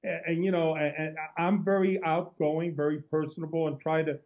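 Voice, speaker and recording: A man's voice: on the high side, flat delivery, normal speed. A man with a Cuban accent, a former undercover agent, telling stories in an outgoing way as an interview guest. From Cuba. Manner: outgoing, storytelling, frequent restarts. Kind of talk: interview conversation. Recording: tinny phone-like line.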